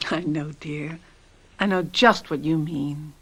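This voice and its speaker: An actress playing a fond, worried wife at a hospital bed, tender and gently fussing, in radio drama style. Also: female voice, deep voice, steady tone, slow pace, husky, exaggerated voice. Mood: tender, relieved.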